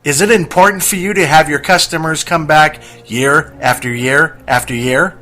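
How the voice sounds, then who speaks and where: A man's voice: on the high side, moderate pace, sharp tone, soft background music. A man reading a scripted radio advertisement as a commercial announcer.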